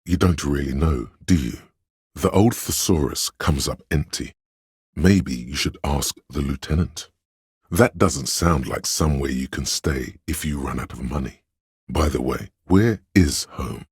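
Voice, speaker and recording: A man playing a pedantic, erudite inner voice of encyclopedic knowledge in a video game, narrating facts in a dry, matter-of-fact deadpan. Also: a bass voice, a little slow, breathy edge, no room sound, exaggerated voice. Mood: grave, pondering.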